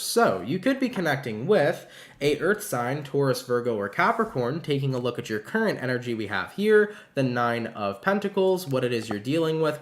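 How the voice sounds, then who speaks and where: A man's voice: medium pitch, fairly bright tone. A man with a stuffy nose talks viewers through a tarot spread in a loose, encouraging way, calling them "baby". His voice rings a little in a roomy space. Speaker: tarot reader. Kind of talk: casual tarot reading to viewers.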